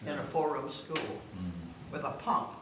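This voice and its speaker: A woman's voice: low-pitched, measured pace. A woman in her nineties with a Southern American accent, telling old stories casually to family. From South Carolina, United States. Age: 90s. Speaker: guest of honour reminiscing. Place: room at a family gathering. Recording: echoey room, distant mic, clear noise.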